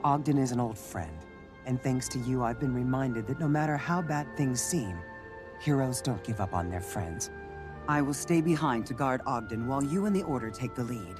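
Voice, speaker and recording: An actor playing a noble veteran male hero in a fantasy video game, earnest and measured. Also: medium pitch, music in the background, natural voice. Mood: solemn, earnest. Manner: measured, solemn.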